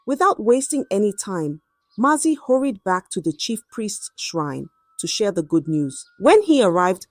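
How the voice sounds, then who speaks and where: Dramatic female storyteller, lively swooping pitch. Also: no echo. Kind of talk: scripted folktale narration.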